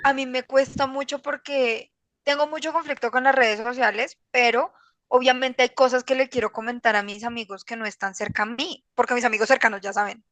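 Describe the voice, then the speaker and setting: A woman's voice: on the high side, fairly even intonation, fairly quick, a bit bright, dry recording. A woman with a Colombian accent talking casually as a podcast guest, candid, slangy and rambling. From Bogotá, Colombia. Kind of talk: casual personal conversation.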